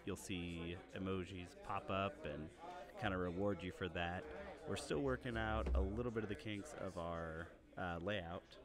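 Deep-voiced man hosting a game stream. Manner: relaxed, rambling, chuckling asides. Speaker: stream host and commentator. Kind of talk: casual stream commentary. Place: game store play area. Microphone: directional mic about five feet from the game table. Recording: voice over store crowd chatter.

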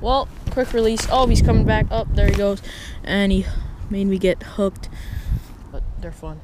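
A young man chatting casually and excitedly as he fishes, in slangy, exclamatory speech, recorded outdoors on an action camera. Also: high voice, moderate pace, heavy background noise. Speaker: fishing vlogger. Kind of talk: casual excited chat while fishing. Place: outdoors on a rocky reservoir shore. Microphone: body-worn action camera.

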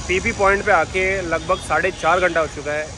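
A man's voice: fairly high pitch, steady tone, normal speed. A young man with a Mumbai Hindi accent, chatting playfully while riding a motorcycle in the rain. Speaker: motovlogger host. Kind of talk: casual vlog chat while riding. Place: outdoors on a motorcycle in rainy city traffic. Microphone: helmet or bike-mounted action camera. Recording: loud road and wind noise outdoors.

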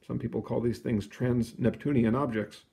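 A man reading a children's book aloud in an expressive, explanatory way, with casual asides. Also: mid-range voice, moderate pace, creaky. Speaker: picture book reader.

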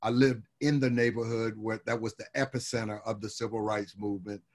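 Older man, from Alabama, relaxed rambling storyteller, video call from home, lots of "you know". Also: on the low side, a little fast. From Birmingham, Alabama. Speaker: guest. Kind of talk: casual interview storytelling. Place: home room with wood shutters and framed pictures.

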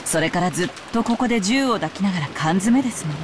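Actress as cool composed lady in anime game, talks fast, rainy noise behind. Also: on the low side, lively intonation, natural voice. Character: composed, matter-of-fact adult woman. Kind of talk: Japanese visual novel voice acting.

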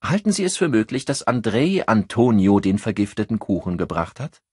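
A brusque policewoman, done by a male narrator, speaks in a raised, husky voice. She is curt, annoyed and accusing, a crime audiobook investigator. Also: fairly low pitch, sing-song, moderate pace, darkish tone, dry recording, voice pushed up. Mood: probing.

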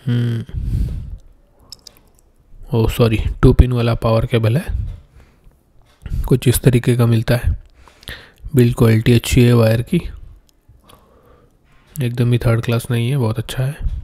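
Guy doing a casual unboxing, mellow voice, pretty flat, explains stuff step by step. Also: mid-range voice, measured pace, long pauses. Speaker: product unboxing presenter.

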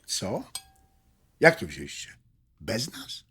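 A man's voice: sits low, wildly swooping pitch, slow pace, gravelly, sharp tone, husky, exaggerated voice. A man playing an easygoing, wryly amused friend who likes to philosophize in an audio drama. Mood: incredulous, wry. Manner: wry, leisurely, teasing. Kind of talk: Polish audio drama acting.

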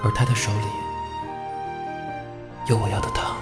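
A man's voice: lowish voice, little pitch movement, normal speed, close to an everyday voice. A young man acting as a weary, guarded male student in a Chinese audio drama. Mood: melancholy. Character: weary, guarded male student narrating his hard youth. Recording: roomy sound under loud music. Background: music.

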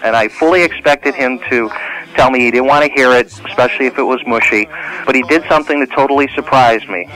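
A man's voice: mid-range voice, quick pace, sharp tone, poor audio. A man giving a spoken personal reminiscence in a plain, conversational way. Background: faint music.

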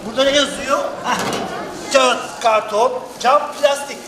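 Man acting squeaky-high eager learner in echoing hall. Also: a little slow. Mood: curious. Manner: exaggerated questioning. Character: eager, naive recycling learner in a children's play. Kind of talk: interactive children's theatre acting. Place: theatre stage in a large hall. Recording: echoey noisy hall.

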